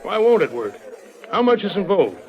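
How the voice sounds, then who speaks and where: A man's voice: high voice, sing-song, moderate pace, lo-fi sound, some chatter behind. An actor in an old radio drama playing an eager, gullible Texan businessman, folksy and sure of himself. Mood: eager, insistent. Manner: folksy, deferential to sir, bossy to wife. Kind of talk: 1950s radio drama acting.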